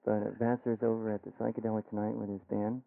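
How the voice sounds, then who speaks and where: A tinny old radio recording of an American man with a lot of fry in his voice. He interviews casually and keeps a very flat, low-key tone as host. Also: fast, no room sound. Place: radio studio. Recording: tinny, phone-like vintage radio aircheck.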